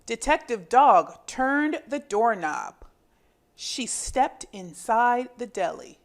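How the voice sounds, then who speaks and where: A woman hosting a children's phonics lesson and storybook read-aloud, enunciating deliberately and expressively as a teacher does. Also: a little high, big pitch swings, vocal fry.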